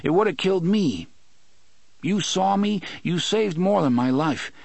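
A male narrator puts on a young man close to tears, his voice pushed high and quick with bitter, pleading anger. The delivery swings widely in pitch and sounds dry and close to the mic. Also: animated, gravelly, darkish tone, no echo, heavily acted. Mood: grieving. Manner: pleading, rapid, raised and strained. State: crying. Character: young man, desperate, angry, tearful.

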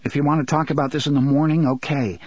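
Angry teen boy talking back, a husky guy putting him on, dull dry audiobook sound. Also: on the high side, steady tone, fast talker, no echo. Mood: tired, firm. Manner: sharp, defiant. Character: angry defiant teenage boy.

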